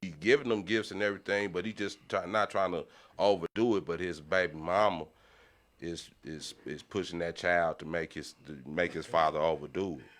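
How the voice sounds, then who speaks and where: An American man with a fairly low voice speaks as a podcast panelist in a casual debate. He is emphatic, circles back to repeat his point and keeps checking that he is being followed. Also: steady tone, moderate pace, fairly bright tone.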